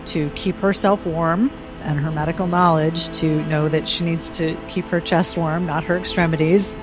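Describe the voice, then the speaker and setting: A woman's voice: low-pitched, steady tone, moderate pace, low-quality recording. Woman, tabletop player, casual delivery, describing character actions, music behind.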